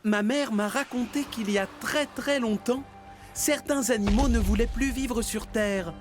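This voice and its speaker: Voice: mid-range voice, measured pace, over music, natural voice. An actor playing a friendly, helpful elephant seal who explains things patiently in a cartoon dub. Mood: helpful storytelling. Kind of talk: cartoon dubbing.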